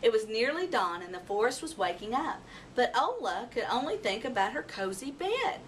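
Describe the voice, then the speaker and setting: A woman's voice: a little high, big pitch swings, moderate pace. A woman reading a children's story aloud expressively, acting out animal sounds and voices. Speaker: storybook reader. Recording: noisy, distant microphone.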